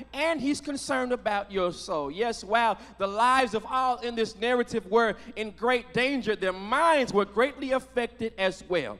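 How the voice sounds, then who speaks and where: High-pitched American preacher man in echoing hall. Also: a bit bright. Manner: emphatic, call-and-response preaching with rhetorical questions and repetition. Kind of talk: sermon. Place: church sanctuary at a pulpit. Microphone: handheld microphone. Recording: reverberant church hall, handheld microphone.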